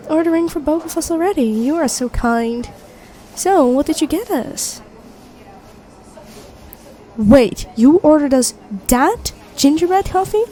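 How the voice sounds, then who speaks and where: Casting: woman to play young female best friend with a secret crush, high mellow voice, chatty and teasing, intimate ASMR roleplay. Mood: teasing, flustered. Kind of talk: intimate ASMR roleplay acting, one side of a conversation.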